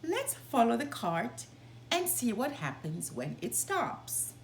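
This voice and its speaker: Woman doing a sly greedy storybook stranger, high-pitched. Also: sing-song, slow pace, reverberant, slight background noise, heavily acted. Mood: puzzled. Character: scheming greedy male strangers, sly schemers.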